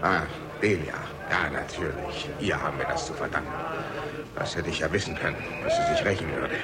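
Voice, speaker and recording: An actor playing an indignant, flustered gentleman with a blustering, affronted manner in a 1960s German radio drama. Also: deep voice, wildly swooping pitch, fairly quick, crowd noise, heavily acted. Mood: vindictive.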